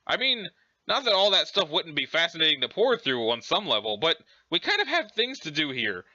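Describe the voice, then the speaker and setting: A man's voice: on the high side, huge pitch swings, fairly quick, sharp tone, no echo, dull audio, exaggerated voice. Man playing a blunt, sarcastic, impatient human youth. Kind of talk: casual character reading of a webcomic.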